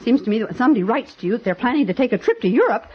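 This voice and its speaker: An actress playing a fussy, easily offended older aunt in a 1950s radio drama, fretful and emphatic. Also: female voice, a little high, big pitch swings, very fast, a crowd in the background. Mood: aggrieved. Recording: dull vintage 1950s radio recording.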